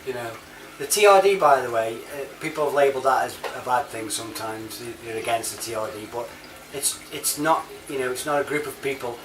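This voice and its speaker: A man's voice: mid-range voice, a little fast, hardly any pauses. A British man giving a casual, rambling monologue in a chatty, matey manner full of informal slang. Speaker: online reviewer and CB chat app user. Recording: roomy, distant mic, clear background noise.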